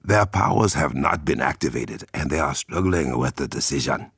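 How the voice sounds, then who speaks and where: An actor playing a dignified Wakandan warrior king in a video game, with an African accent, a gravelly husky voice and formal, measured, dryly witty phrasing. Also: rumbling low, animated, fairly bright tone, no room sound, clean studio sound, full character voice. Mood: composed, earnest. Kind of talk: video game character dialogue.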